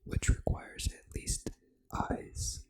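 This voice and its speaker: A gaming ASMR host speaking entirely in a soft, breathy, unvoiced whisper, reading out in an unhurried, deliberate way.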